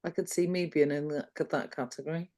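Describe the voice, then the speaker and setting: A woman with a fairly low voice talks plainly and agreeably in a casual discussion group. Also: steady tone, fairly quick, darkish tone.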